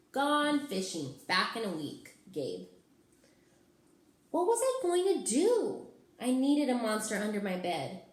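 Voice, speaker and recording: A woman giving an expressive narration of a children's storybook, as a teacher reading aloud. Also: on the high side, a little hiss or hum. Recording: roomy, echoing sound.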